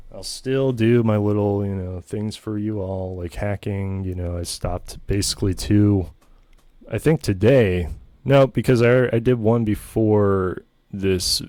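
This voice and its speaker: A man's voice: on the low side, measured pace, darkish tone, dry recording. A man in a comedic monologue, smug and pompous, talking himself up at length and wandering through his sentences with constant ums and restarts. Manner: smug, pompous and self-aggrandizing; meandering, full of ums and restarts. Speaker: comedy channel host.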